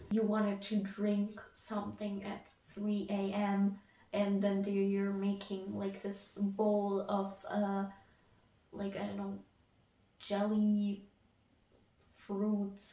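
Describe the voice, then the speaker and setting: Slow, halting woman vlogging. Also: medium pitch, dark tone. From Ukraine. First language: Ukrainian. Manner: hesitant, many fillers and restarts. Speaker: artist vlogger. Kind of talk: casual get-to-know-me Q&A. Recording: echoey room, mic at a distance.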